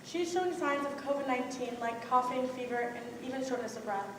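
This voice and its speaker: High-voiced woman as a hospital caller, echoing. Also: fairly quick, natural voice. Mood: grave news. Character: hospital caller delivering news to a worried father. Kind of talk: live stage one-act play. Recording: very echoey hall, distant mic, faint noise.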